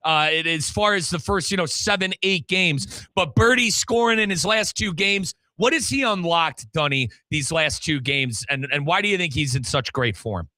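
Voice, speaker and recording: Man, sports show host. High, punchy bright delivery, very dry sound, putting questions to a guest. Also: expressive, moderate pace, few pauses, dry recording. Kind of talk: animated sports talk interview.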